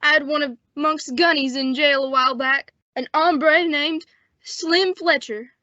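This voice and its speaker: A wounded western lawman, played by a young woman, speaks in a high, strained voice. He is resigned and folksy, in a radio play with a dry, dulled sound. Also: fairly even intonation, measured pace, sharp tone, no echo. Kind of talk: old-time western radio drama acting. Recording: dull, dry lo-fi radio recording.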